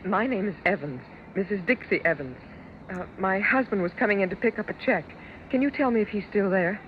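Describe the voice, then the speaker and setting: An actress playing a frightened wife in an old radio drama, earnest and dramatic. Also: female voice, medium pitch, lively intonation, brisk, slight background noise, close to an everyday voice. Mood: anxious, polite. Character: frightened wife who believes her husband is trying to kill her. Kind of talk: 1940s-1950s radio drama acting. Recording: dull old-time radio recording with music behind.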